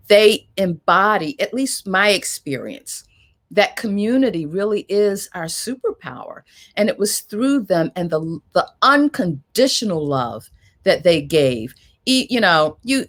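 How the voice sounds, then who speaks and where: A woman's voice: fairly low pitch, a bit bright. A woman moderating a discussion in a reflective, conversational way, with an affirming, heartfelt manner.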